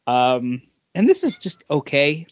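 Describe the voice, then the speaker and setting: A man's voice: fairly high pitch, huge pitch swings, a little slow, dry recording. A man co-hosting a podcast, chatting casually and informally. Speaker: podcast co-host. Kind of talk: casual chat. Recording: dry, dull lo-fi audio.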